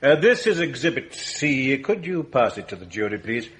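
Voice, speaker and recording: An actor with an English accent playing a methodical prosecuting barrister in a radio drama, polite, measured and formal. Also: male voice, mid-range voice, very animated, natural voice. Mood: courteous, formal. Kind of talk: British radio drama acting.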